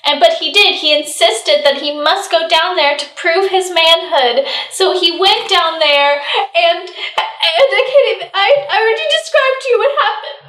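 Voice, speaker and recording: Woman doing a very high-pitched fretful young lady. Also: moderate pace, hardly any pauses, bright, forceful tone. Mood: distressed. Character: fretful, romantic young lady in horror tale. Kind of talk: improvised comic radio play. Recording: echoey room, slightly distant mic.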